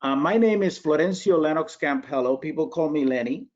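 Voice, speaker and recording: A man's voice: a little high, animated, fairly quick, darkish tone. A Cuban-born man in his sixties, an art critic, chatting at length in a casual online talk. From Cuba. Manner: talkative, chatty. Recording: dull lo-fi audio.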